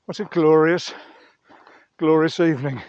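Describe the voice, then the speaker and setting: A man with a fairly high voice chats to his camera in a casual vlog style. He narrates his own outing as he goes. The audio is somewhat dull and lo-fi, recorded outdoors. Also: steady tone, measured pace, a little mellow, no room sound. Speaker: outdoor vlogger and gear reviewer. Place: outdoors on a mountain trail.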